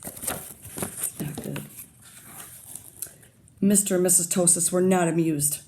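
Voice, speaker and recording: A woman teacher reading a picture book aloud to young children, pausing to comment and ask them questions. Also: lowish voice, steady tone, dark tone.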